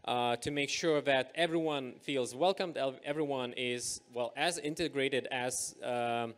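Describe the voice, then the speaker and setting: A man moderating a live panel discussion on stage, upbeat and energetic, with many filler sounds. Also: mid-range voice, a little slow. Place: event stage.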